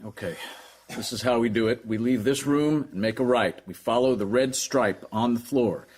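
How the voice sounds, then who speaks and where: An actor playing a dutiful government security agent, briefing in clipped, deferential phrases in an audio drama. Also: male voice, medium pitch, normal speed, darkish tone, natural voice. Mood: brisk, procedural. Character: brisk, dutiful male Secret Service agent.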